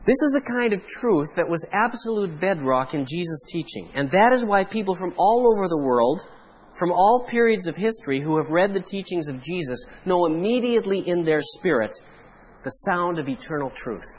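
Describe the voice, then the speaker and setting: A Canadian man, a pastor, preaching a sermon in a measured way, pausing for emphasis. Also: high voice, big pitch swings, breathy edge, poor audio.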